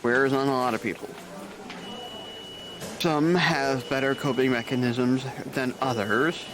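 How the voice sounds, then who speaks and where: An actor playing a jaded male nurse supervisor who talks with calm, dry gallows humor. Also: medium pitch, unhurried, close to an everyday voice. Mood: wry grim. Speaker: charge nurse. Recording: noisy background.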